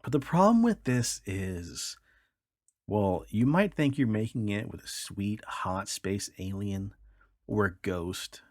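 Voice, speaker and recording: Male podcast host for wry story readings, expressive and swooping, recorded close and dry. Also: on the low side, slow pace, no room sound. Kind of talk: reading odd news stories aloud.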